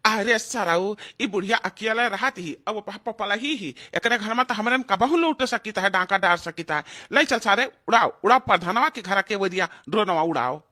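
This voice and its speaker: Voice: medium pitch, lively intonation, normal speed, bright, forceful tone. A performer voicing a greedy, bossy male village thief in an Awadhi comedy cartoon, scolding, with an Awadhi accent. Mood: scheming. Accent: Awadhi, eastern Uttar Pradesh.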